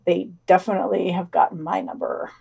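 A woman's voice: on the low side, fairly quick, creaky, slightly rough. A woman reading news summaries for a podcast, quoting articles and adding dry, wry asides. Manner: deadpan, wry.

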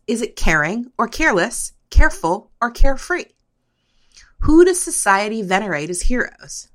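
A woman's voice: lowish voice, a bit bright. Woman reading a podcast script aloud. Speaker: podcast presenter. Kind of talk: scripted reading of a discussion piece.

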